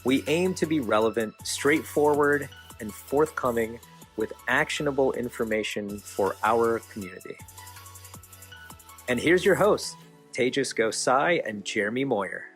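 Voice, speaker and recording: An American man delivers a scripted show introduction as the announcer. His voice sits at a middle pitch, with music faintly behind it. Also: unhurried, fairly bright tone. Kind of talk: scripted promotional intro narration.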